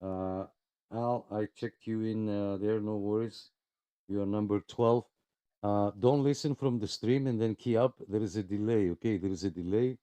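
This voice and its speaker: A man's voice: fairly low pitch, steady tone, normal speed, warm tone, clean tone, no room sound. A man casually hosting a live radio check-in, friendly and polite, with plenty of hesitations. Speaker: host of a CB radio club live check-in net.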